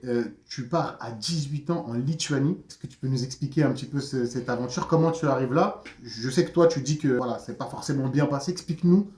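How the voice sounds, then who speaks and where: A man hosting a casual interview, quick and chatty with many fillers and restarts. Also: medium pitch, a little mellow, roomy sound. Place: outdoors in a neighbourhood. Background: friends chanting nearby.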